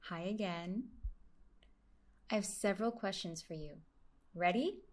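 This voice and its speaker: Woman, mid-pitched voice, voicing an interviewer, steady deliberate phrasing.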